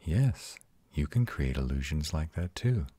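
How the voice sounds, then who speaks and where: A man playing a proud but tender vampire lover in an intimate comfort roleplay, speaking in a soothing, reassuring way with a breathy, husky voice. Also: extremely low pitch, very animated, unhurried, mellow, no room sound, heavily acted. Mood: soothing, patient. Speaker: voice actor in a roleplay audio.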